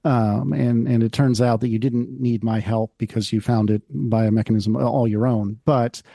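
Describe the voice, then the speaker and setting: A man's voice: medium pitch, fairly even intonation, moderate pace, gravelly, mellow, dry recording, studio quality. A man co-hosting a podcast, chatting casually with an understated, wry manner. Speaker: podcast co-host. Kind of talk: casual chat.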